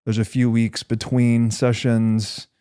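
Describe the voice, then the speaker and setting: A man hosting a podcast, explaining ideas conversationally in a thoughtful, measured and halting way as he reasons aloud. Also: mid-range voice, level tone, mellow, clean tone. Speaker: podcast host.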